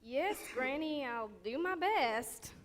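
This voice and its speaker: Sassy young storybook heroine female actor for stage comedy: high voice, slow, stubborn delivery. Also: expressive. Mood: grudging. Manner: sassy, accusatory. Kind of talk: stage comedy fairy-tale acting.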